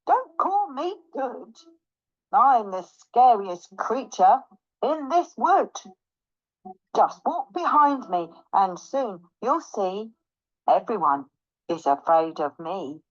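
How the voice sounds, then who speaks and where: Storybook mouse acted out by a woman, small, boastful, cunning, very swoopy pitch, emphatic delivery, lo-fi sound. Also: animated, takes it slowly, no room sound. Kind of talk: children's storybook read aloud.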